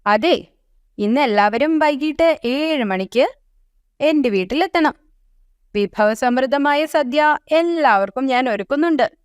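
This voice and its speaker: Woman voicing a high-pitched, scolding storybook bear. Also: lively intonation, unhurried, pauses often, dry recording, clean studio sound. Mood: inviting. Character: stern older-brother male bear, fed up but hospitable.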